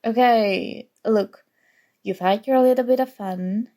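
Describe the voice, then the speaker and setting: A young woman playing a sarcastic, competitive college student in an ASMR roleplay, teasing and exasperated, with a creaky voice. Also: a little high, slow, mellow. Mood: sarcastic dismissive. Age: young adult. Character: snarky competitive college student, grudgingly fond academic rival. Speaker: audio roleplay voice actor.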